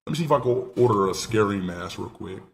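A nineteen-year-old man streaming live from a small room on a headset mic, excitable and rambling, swearing freely. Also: lowish voice, fast, creaky, a little hiss or hum. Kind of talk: casual live stream chat.

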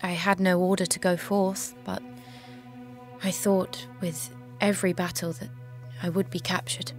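A woman's voice: lowish voice, little pitch movement, a little slow, soft background music. A woman playing a brave, devout young maiden commander in an audio drama, speaking formally and earnestly. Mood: solemn, submissive.

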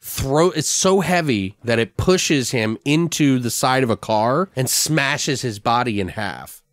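A man's voice: mid-range voice, lively intonation, unhurried, no echo. Man swapping ideas, dry close sound. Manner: profane, slangy. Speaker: podcast co-host. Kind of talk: casual brainstorming of a horror story.

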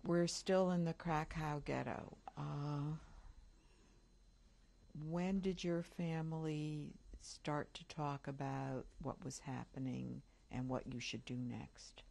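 Woman interviewer, low voice, gravelly, slow, asks brief direct questions. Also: steady tone, a little mellow.

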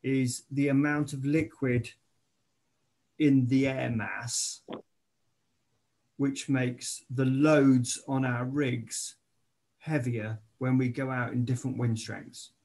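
Slow, gravelly man on a call. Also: mid-range voice, steady tone, a little mellow. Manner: hesitant and self-deprecating, repeats words. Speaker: club member asking a question. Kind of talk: casual explanatory chat.

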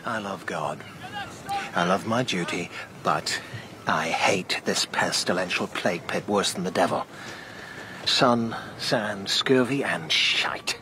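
An actor playing a blunt, loyal, world-weary knight in a historical radio drama, dry and plain-spoken. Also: male voice, low voice, takes it slowly, background noise. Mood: weary disgust.

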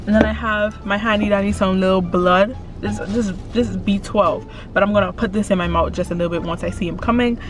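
A young woman chatting casually to a dashboard camera inside a car, upbeat and repeating her catchphrases. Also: mid-range voice. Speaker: vlogger pranking her fiancé.

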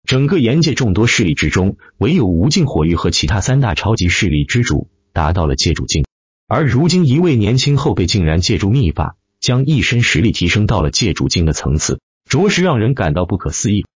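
A man's voice: lowish voice, sing-song, a little fast, breathy edge, no echo, lo-fi sound. A man reading a fantasy novel as dramatic scripted narration. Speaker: audiobook narrator.

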